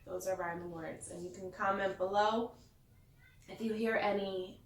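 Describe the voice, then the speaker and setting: A woman reading a bedtime story aloud to children like a teacher, in an expressive sing-song way that stresses the rhymes. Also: mid-range voice, moderate pace. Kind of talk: bedtime story read-aloud with asides to young listeners. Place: echoey indoor room. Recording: echoey room, distant mic.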